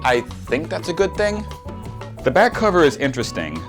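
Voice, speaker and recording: A man's voice: on the high side, huge pitch swings, normal speed. A man in his early forties narrating a scripted review with wry, dry humour. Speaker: record reviewer, presenter. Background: music.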